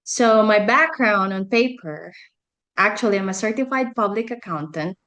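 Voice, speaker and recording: A middle-aged woman talks about her own life as a guest on an interview show. She has a Filipino accent and an average-pitched voice. Her delivery is conversational, like someone telling a personal story. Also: animated, moderate pace, pushed and bright. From Philippines. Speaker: podcast guest, energy healer and life coach.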